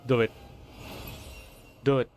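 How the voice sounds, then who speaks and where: A man's voice: takes it slowly, dull audio, noisy background. A young man who streams games, reacting casually in slangy, sweary talk with stammers. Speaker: game streamer. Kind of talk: casual joking live reaction.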